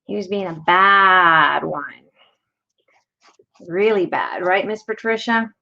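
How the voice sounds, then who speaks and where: A woman's voice: mid-range voice, slow, vocal fry, bright, forceful tone. A middle-aged woman hosting a live craft tutorial, chatting casually and slipping into Spanish phrases. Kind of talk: casual live-stream chat while crafting. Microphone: desk mic over an overhead craft camera. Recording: slightly dull audio with faint hiss.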